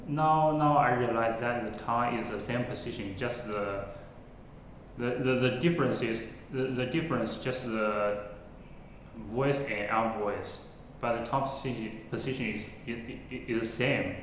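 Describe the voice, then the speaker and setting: A man who is a non-native English speaker practising pronunciation informally, halting and often repeating and correcting himself. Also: mid-range voice. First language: not English. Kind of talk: informal spoken practice log, repeating words after a recording. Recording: very echoey room, faint background noise.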